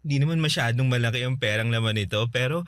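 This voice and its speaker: An actor playing a friendly, grateful, excitable salon owner in radio drama dialogue, with a chatty, giggly, expressive delivery. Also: male voice, mid-range voice, quick pace, no echo, natural voice.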